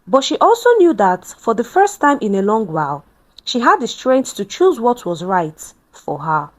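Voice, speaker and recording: A woman narrating a scripted dramatic story in a measured, expressive storytelling manner. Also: on the high side.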